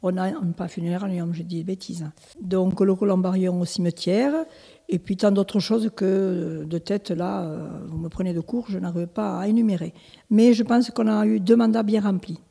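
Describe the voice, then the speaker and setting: A woman's voice: medium pitch, steady tone, moderate pace, gravelly, dark tone, slightly rough, in a large hall. A woman in her seventies, born in Italy, answering interview questions as a village mayor, hesitant with many restarts and fillers. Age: 70s. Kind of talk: local interview.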